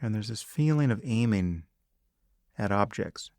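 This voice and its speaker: A man guides a meditation in a low, gravelly voice. He speaks very slowly and calmly, with long pauses between phrases. The recording is dry and close, with no room sound. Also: no echo. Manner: calm, measured instructions with long pauses.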